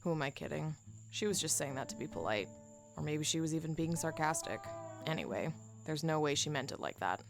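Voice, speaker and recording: An actress playing a sardonic, stubborn bounty hunter in a game, brusque and sceptical. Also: female voice, low voice, lively intonation, a little fast, vocal fry, music in the background. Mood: puzzled, doubtful. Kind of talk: visual novel game character acting.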